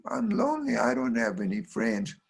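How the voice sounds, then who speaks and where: A man's voice: high voice, sing-song, moderate pace, vocal fry, fairly bright tone, pitched above the performer's own voice, full character voice. A man voicing a sad, lonely little farm girl with a whiny, pleading manner in a live folk tale. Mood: upset, insistent. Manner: plaintive, pleading.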